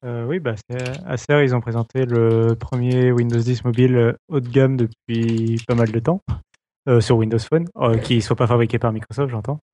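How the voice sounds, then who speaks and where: A man's voice: mid-range voice, fairly even intonation, moderate pace, dark tone. A man reporting on tech news in a casual podcast chat, hesitant with many fillers.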